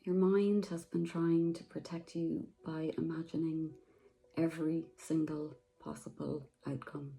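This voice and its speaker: Slow, soft-spoken woman meditation guide. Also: low voice, little pitch movement, creaky. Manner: slow, soft, soothing, with long pauses.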